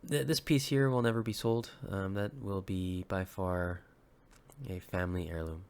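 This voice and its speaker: A slightly sick man in his thirties, from Hawaii, talks low and unhurried through his collection of handmade pieces. He fills gaps with ums and uhs and often stops to correct himself. The delivery stays casual, like a home show-and-tell video. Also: fairly even intonation, a little mellow, clean tone. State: slightly sick, recovering from illness. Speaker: woodworker and weapon maker.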